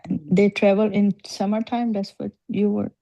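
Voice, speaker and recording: A woman student on a video call answering slowly, hesitating and thinking aloud with hums. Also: mid-range voice, little pitch movement, creaky, mellow, no room sound. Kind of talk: online class answers.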